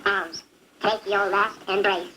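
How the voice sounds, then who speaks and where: A woman playing a grieving young male lover in an amateur Shakespeare puppet show, slowly and solemnly declaiming. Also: medium pitch, steady tone, bright, forceful tone. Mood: tender grief. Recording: noisy amateur student recording.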